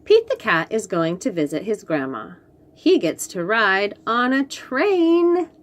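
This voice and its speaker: A woman hosts a read-aloud for young children. Her pitch moves in a lively way and her pace is unhurried. She is expressive and encouraging, stepping out of the narration for chatty, teacherly asides. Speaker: children's story reader and host.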